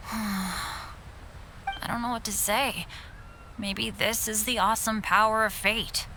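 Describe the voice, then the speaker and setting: A woman's voice: mid-range voice, fairly even intonation, slow, bright, forceful tone, slight background noise, low-quality recording. A woman voicing an unlucky teenage boy adventurer, earnest and boyish, in an anime video game. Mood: apologetic, downcast.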